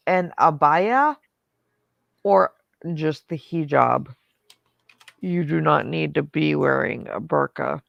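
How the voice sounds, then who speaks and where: Woman stream host, very fry-heavy voice, chatty storyteller, dead-dry mic. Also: deep voice, dry recording, dull audio. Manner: chatty storyteller, quotes herself in asides. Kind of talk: casual group chat on a stream.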